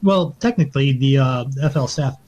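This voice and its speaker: A man from Hawaii with a husky voice, teasing and casual as a podcast panelist. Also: medium pitch, normal speed, a little mellow. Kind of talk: casual banter. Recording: dull lo-fi voice chat.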